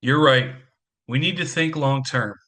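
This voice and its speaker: A man's voice: medium pitch, a little slow, pushed and bright. A man playing a stressed, anxious divorcing father in an audio play, with a wry, self-deprecating and earnest manner. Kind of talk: community theatre audio play acting.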